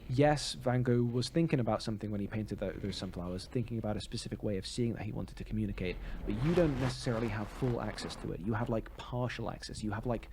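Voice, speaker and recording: A man's voice: lowish voice, fast talker, hardly any pauses, noisy background. A man co-hosting a podcast in casual, opinionated discussion, rambling and often correcting himself mid-sentence. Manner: hesitant, rambling, self-correcting. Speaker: podcast co-host.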